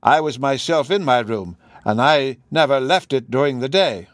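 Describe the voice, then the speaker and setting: A man reading aloud, voicing an old male professor who laughs falsely and cries out in high, frantic tones. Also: normal speed, no room sound, clean studio sound, natural voice. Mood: mocking. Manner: forced laughter, shrill outbursts. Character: aged male Russian professor, sly then frantic.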